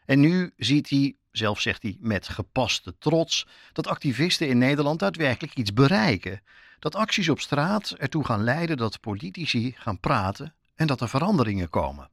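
A male reporter narrates a documentary podcast with a lively, varied melody. His pitch is medium, and he speaks straight to the listener like a storyteller. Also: moderate pace, no echo, studio quality. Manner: addresses listener directly, storytelling.

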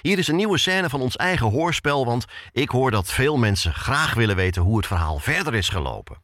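A man's voice: mid-range voice, very animated, a little fast, a bit bright, dry recording. A man hosting a podcast with scripted, story-led narration that addresses the listener directly. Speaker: podcast host.

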